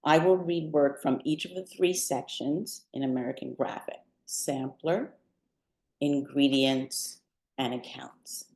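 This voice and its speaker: Woman, guest poet, exceptionally low pitch, deliberate, articulate, prepared remarks, roomy echo. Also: fairly even intonation, vocal fry, a little mellow. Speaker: poet and visual artist, guest reader. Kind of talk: prepared remarks before a reading. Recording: roomy, echoing sound.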